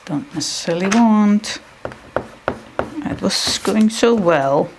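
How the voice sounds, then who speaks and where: A slow-speaking woman with a Dutch accent who thinks aloud while she draws. Her voice sits a little low and carries a gravelly fry. She talks haltingly, mutters to herself and stops to hunt for words, over a faint hiss of room noise. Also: expressive. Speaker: artist live-streaming while drawing.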